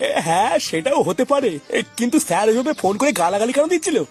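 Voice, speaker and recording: A man's voice: very high voice, sing-song, fast, breathy edge, faint music behind, exaggerated voice. A man voicing a fretful, foul-mouthed old grandfather in a comedy cartoon, questioning everything. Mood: worried. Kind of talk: exaggerated cartoon comedy dialogue.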